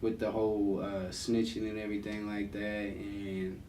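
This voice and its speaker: A man's voice: flat delivery, moderate pace, warm tone. A man who is a guest rapper, talking loosely and rambling, with lots of fillers and slang. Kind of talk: casual conversation. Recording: echoey room, distant mic, faint rumble.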